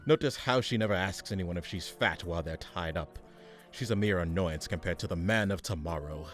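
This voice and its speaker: A man's voice: low voice, brisk, soft background music, natural voice. A man playing a pompous, exasperated supervillain chairing a meeting in a comedic radio play, haughty and sarcastic.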